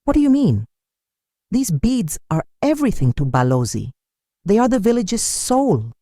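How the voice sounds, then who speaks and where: Narrator as a desperate young hunter, higher-pitched. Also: sing-song, normal speed, warm tone, no echo, studio quality. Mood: shocked, pleading. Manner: pleading, urgent questioning. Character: desperate young male village hunter, earnest, shaken. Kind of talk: dramatic folktale storytelling.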